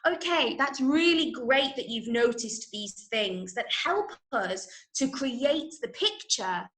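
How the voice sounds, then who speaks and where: An English woman teaches children online in a fairly high voice. She speaks very slowly, with encouraging emphasis and careful explanation. The room around her is echoey. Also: animated, fairly bright tone. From Manchester, England. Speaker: drama workshop teacher. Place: indoor room, online call.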